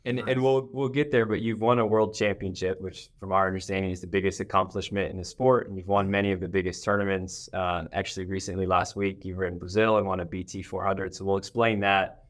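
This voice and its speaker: A man's voice: low-pitched, flat delivery, a little fast, few pauses. A man hosting a podcast in a conversational interviewing style. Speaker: podcast host.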